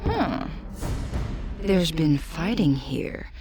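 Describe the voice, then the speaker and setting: A low-voiced, sultry demonic woman guiding a hero in a fantasy game. She is sly, teasing and secretive, speaking slowly in a purring, often hushed way. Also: wildly swooping pitch, music in the background, full character voice. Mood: watchful, sly. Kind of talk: video game voice acting.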